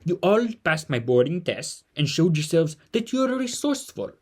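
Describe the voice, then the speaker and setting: An actor playing a kindly, earnest old mentor in a family audio drama, speaking in formal, deliberate phrases. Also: mid-range voice, sing-song. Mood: earnest, measured.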